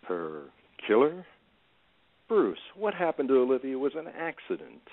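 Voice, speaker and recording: A man's voice: mid-range voice, sing-song, unhurried, creaky. A man acting in an audio play as a frightened aging drunkard, stammering, evasive and defensive. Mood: nervous, evasive. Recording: tinny phone-like band.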